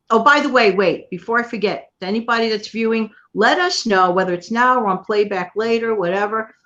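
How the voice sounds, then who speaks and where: A middle-aged woman hosts a casual live stream, talking in a loose, rambling way and laughing easily. Her voice sits a little high. The sound is dull and lo-fi. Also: fairly bright tone. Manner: chatty, rambling, laughs often. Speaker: channel host.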